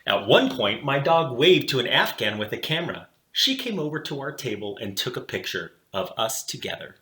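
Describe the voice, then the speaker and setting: A man hosting a children's read-aloud, narrating a storybook in a dramatic, deliberate way, in a roomy space. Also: medium pitch, sing-song, bright, forceful tone.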